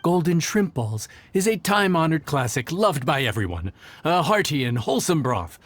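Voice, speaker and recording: A man's voice: a little high, big pitch swings, moderate pace, slight background noise. A man playing an earnest, polite young male chef with an anxious streak in a video game. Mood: proud, composed.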